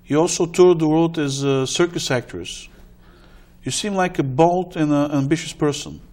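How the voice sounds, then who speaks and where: A middle-aged man hosting a studio interview, asking slow, deliberate questions with many hesitant pauses. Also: a little high, darkish tone. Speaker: talk show host. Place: TV studio with blue curtain.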